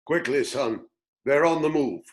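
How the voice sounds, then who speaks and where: A man playing a wise, gentle old hermit in a script reading, speaking in a measured, reflective, reassuring way. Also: mid-range voice, animated, lightly acted. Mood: cautious. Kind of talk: script table read acting.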